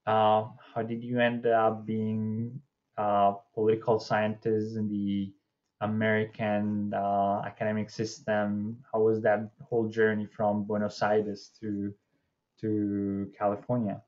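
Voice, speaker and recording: A man's voice: on the low side, completely flat delivery. Young man hosting over a dull line. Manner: friendly, slightly halting questions. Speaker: podcast host. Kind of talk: interview hosting. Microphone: video call webcam.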